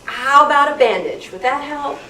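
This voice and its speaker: Woman acting an exasperated, high-voiced teenage babysitter. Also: lively intonation, normal speed, sharp tone. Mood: placating. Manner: scolding, exasperated, placating. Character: exasperated teenage girl babysitter Cindy, bossy but caring. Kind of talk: live script read of a spooky radio play. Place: club meeting room, live reading. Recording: roomy distant microphone, noisy meeting room.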